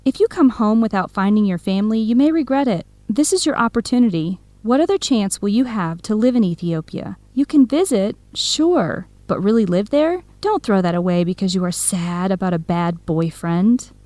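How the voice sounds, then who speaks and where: A woman's voice: mid-range voice, animated, normal speed, hardly any pauses, mellow, no room sound, close to an everyday voice. A woman playing a supportive, frank young best friend in a radio drama, with a direct, encouraging, conversational manner. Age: young adult. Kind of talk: scripted radio drama dialogue.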